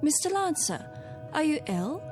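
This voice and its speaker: A woman's voice: high voice, sing-song, a little slow, over music. An actress playing a polite, attentive female secretary in a radio drama, solicitous and well-mannered. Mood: concerned, soothing.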